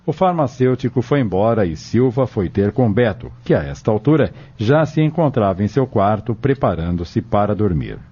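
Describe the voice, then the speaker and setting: A man's voice: fairly low pitch, sing-song, moderate pace, hardly any pauses, mellow, husky. A Brazilian man reading scripted storytelling narration for a radio drama. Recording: dull lo-fi audio.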